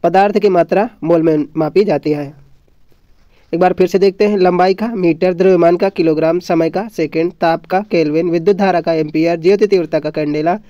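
High-pitched man teaching, dull echoless-bright recording. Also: steady tone, fairly quick. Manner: didactic, repeats points and asks then answers own questions. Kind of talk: exam lesson read from notes. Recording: dull lo-fi, roomy echo.

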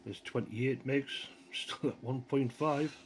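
A man's voice: mid-range voice, fairly even intonation, slow pace. Casual man, noisy recording. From North East England. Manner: informal, off-the-cuff commentary. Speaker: amateur radio hobbyist demonstrating equipment. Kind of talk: casual hands-on video demonstration. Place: outdoors in a yard, then a small living room. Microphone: handheld phone camera behind the view.

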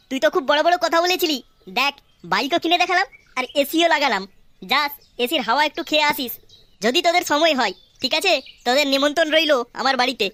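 A woman's voice: sits high, pushed and bright, a little hiss or hum. A woman voicing a cheeky, boastful boy in a comedy cartoon, with a teasing manner. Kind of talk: Bengali comedy cartoon voice acting.

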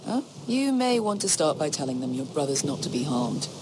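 An actor playing a wary, blunt sellsword in a fantasy video game, frank and probing. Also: male voice, a little high, very animated, a little fast, background noise. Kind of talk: dramatic video game acting.

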